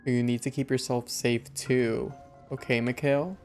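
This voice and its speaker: Creaky, very low-pitched female game streamer. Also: flat delivery, unhurried, darkish tone, faint music behind. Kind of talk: casual live playthrough reactions.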